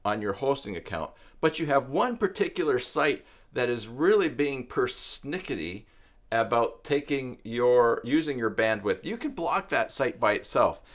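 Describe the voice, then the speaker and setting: A man's voice: medium pitch, big pitch swings, measured pace. A man hosting an unscripted live tutorial, explaining technical steps as he thinks aloud and rephrases himself. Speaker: live stream host, web tutorial teacher.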